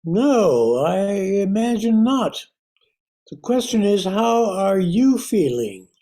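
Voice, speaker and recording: A man acts out a calm, cunning doctor from a radio drama. His tone is mellow and composed. He explains his schemes at a very measured, unhurried pace. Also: sits high, heavily acted. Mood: coolly knowing. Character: calm, cunning doctor uncle, a scientist outwitting gangsters. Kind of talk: old-time radio thriller acting.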